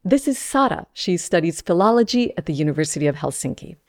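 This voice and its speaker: A woman's voice: on the low side, sing-song, fast. A woman reporter telling a story in a conversational podcast style.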